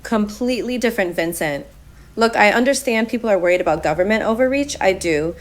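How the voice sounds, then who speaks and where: A woman's voice: mid-range voice, lively intonation, brisk, close to an everyday voice. A woman playing a firm, impatient law-and-order caller to a talk radio show. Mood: firm, dismissive. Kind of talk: radio call-in drama acting.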